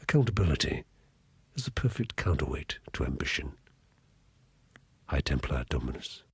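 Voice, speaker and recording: A man playing a cold, menacing high templar who dictates formal orders in a game, with a very raspy voice and pompous, precise delivery. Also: big pitch swings, normal speed, no echo, exaggerated voice. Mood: coldly menacing. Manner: pompous, precise, swooping emphasis.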